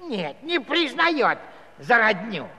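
A man's voice: sing-song, takes it slowly, pushed and bright, slightly rough, full character voice. An actor playing a comic old Cossack grandfather who stammers and rambles in a folksy way through his storytelling. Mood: aggrieved storytelling. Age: older. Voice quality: high-pitched, stammering. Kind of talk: comic musical radio-play acting. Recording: reverberant hall-like studio recording.

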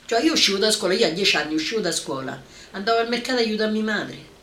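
A woman's voice: medium pitch, lively intonation, quick pace, a bit bright. An elderly woman with a Roman accent, giving spontaneous spoken testimony as an eyewitness. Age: 70s or older. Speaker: eyewitness recalling wartime. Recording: roomy, echoing interview recording.

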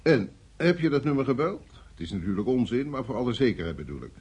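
A man's voice: medium pitch, very animated, quick pace, a little mellow, breathy edge, exaggerated voice. An actor playing a male security service chief in a radio drama, questioning in a brusque, authoritative way. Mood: brisk, uneasy.

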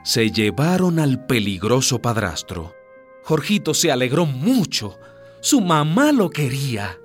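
A man's voice: mid-range voice, big pitch swings, a little slow, faint music behind. A man narrating a radio drama as a scripted story, with a grave, dramatic delivery.

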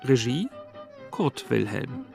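A man reading the closing credits of a radio play in a measured, formal announcing manner, in an old recording. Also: mid-range voice, sing-song, vocal fry, darkish tone. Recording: dry old 1951 broadcast with faint music.

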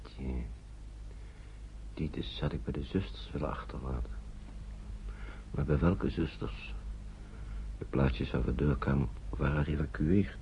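An actor playing a weary, worried guardian of refugee children in a radio play, thinking aloud in a halting, breathy, gravelly murmur. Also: male voice, a bass voice. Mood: worried, brooding. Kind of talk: radio play acting, murmured inner monologue. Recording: dull old radio play recording, faint noise.

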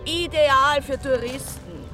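An actress playing a teasing, playful village pub waitress in a radio drama, with an Austrian accent. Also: female voice, high voice, slow, over music. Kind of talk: naturalistic radio drama acting.

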